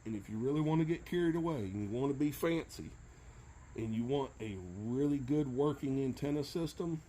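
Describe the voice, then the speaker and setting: A middle-aged man giving a casual hands-on tutorial, plain-spoken and halting, often checking in with a short question. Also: medium pitch, warm tone, a little hiss or hum, rough recording. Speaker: how-to video presenter. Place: truck bed by a cluttered garage or workshop.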